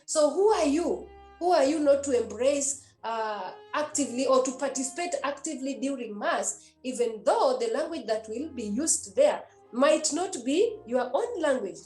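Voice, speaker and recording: Nun, high voice, earnest and repetitive preaching to youth; roomy echo, faint music underneath. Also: female voice, lively intonation, normal speed, hardly any pauses, dark tone. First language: a Kenyan language. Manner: earnest and repetitive, with many hesitations. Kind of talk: exhortation to young people.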